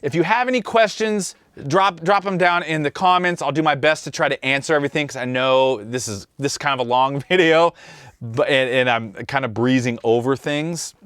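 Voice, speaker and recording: A man's voice: fairly high pitch, normal speed, a bit bright, smooth, dry recording. A man from Kansas, the host and drummer, giving an easygoing, conversational walkthrough of how he mixed a track in his studio. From Kansas, USA. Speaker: host, mixing engineer and drummer. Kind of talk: casual studio tutorial. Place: recording studio control room.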